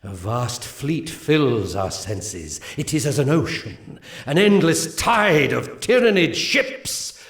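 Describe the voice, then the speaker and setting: A slow, grave elven seer. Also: male voice, medium pitch, very animated. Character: solemn male elven seer briefing his warhost. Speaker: game mission narrator. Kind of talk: 1990s strategy game cutscene narration. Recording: roomy game audio under loud music.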